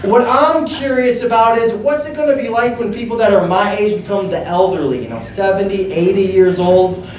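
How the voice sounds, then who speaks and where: A man in his thirties with a lisp, doing a stand-up comedy set on a handheld mic, with a halting, deadpan delivery. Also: high voice. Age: 30s. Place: comedy club with audience laughter. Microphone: handheld stage mic, amplified. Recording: echoey club recording from far off with room noise.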